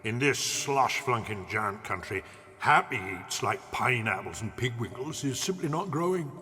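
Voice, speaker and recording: An actor playing a gentle, childlike old giant, mangling his grammar and savouring invented words in a children's audio drama. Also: mid-range voice, animated, normal speed, big-room sound. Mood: earnest, animated.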